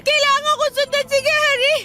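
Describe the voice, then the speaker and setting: A Filipino actress playing a soft-hearted, devoted young girlfriend in a radio drama, emotional and imploring. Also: very high voice, flat delivery, moderate pace. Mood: distraught, pleading.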